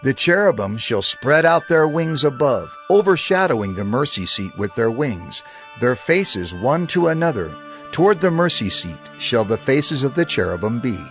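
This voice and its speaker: Male narrator, mid-pitched, expressive swings, solemn, deliberate, faint music behind. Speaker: scripture narrator. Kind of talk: dramatized scripture narration.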